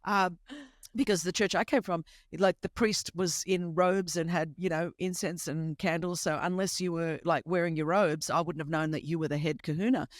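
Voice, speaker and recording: A woman in her fifties with an Australian accent whose first language is Greek, telling a lively, humorous personal story as a podcast host. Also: lowish voice, normal speed, no room sound, clean studio sound. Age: 50s. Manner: animated, emphatic, humorous storyteller. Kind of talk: conversational testimony storytelling.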